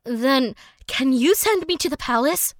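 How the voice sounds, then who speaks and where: A woman voicing an eager, kindhearted young boy hero in a fairy tale, earnest and excitable. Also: high voice, a little slow, no echo, studio quality, pitched above the performer's own voice.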